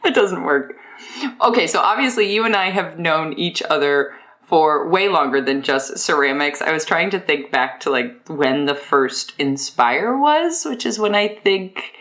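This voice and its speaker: A woman with a low-pitched voice hosts a podcast interview. She talks informally and conversationally, as in a casual chat. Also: lively intonation, unhurried, fairly bright tone. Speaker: podcast host.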